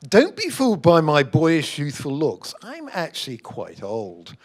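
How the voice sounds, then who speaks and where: A man in his sixties introducing himself with jokes and lively storytelling. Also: on the high side, measured pace. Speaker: panelist, retired army officer.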